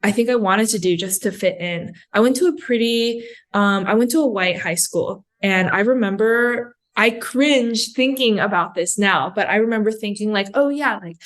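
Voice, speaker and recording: A woman's voice: medium pitch, normal speed, few pauses. A woman with an American accent, a podcast guest talking conversationally about her own experience. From San Francisco, United States. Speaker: podcast guest, health educator. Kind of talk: conversational personal storytelling.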